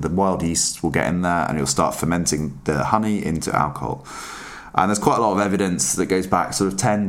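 Brief: man with a cold, very low voice, relaxed podcast guest, ums and restarts. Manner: relaxed and explanatory, with many fillers and restarts. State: under the weather, voice deeper than usual. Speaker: podcast guest, mead maker. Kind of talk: casual podcast chat.

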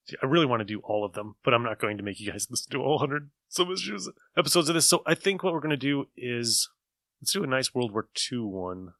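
A man co-hosting a podcast, chatting casually about old radio and retelling bits in an animated way. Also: mid-range voice, brisk, dry recording, studio quality. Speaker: podcast co-host.

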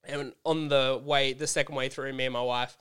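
A man in his thirties with an Australian accent, slightly hoarse, telling stories animatedly in casual chat. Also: mid-range voice, normal speed. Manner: animated storyteller, swears casually.

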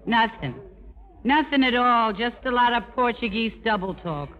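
An actress playing a brash, slangy, wisecracking nurse in a 1950s radio drama. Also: female voice, fairly high pitch, lively intonation, normal speed, close to an everyday voice. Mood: brisk, reassuring. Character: brassy, wisecracking tough-hearted Brooklyn nurse. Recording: dull vintage radio broadcast.

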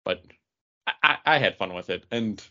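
Guy with a low but bright punchy voice, comics podcast chat, bit of a dull recording. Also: expressive, normal speed. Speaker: podcast co-host reviewing comic books. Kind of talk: casual chat. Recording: dull, band-limited audio.

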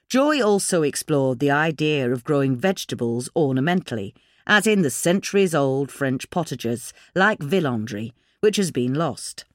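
Woman reading an article out loud, lowish voice that moves up and down a lot, really dry close sound. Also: no room sound. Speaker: narrator of a magazine article. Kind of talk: scripted article narration.

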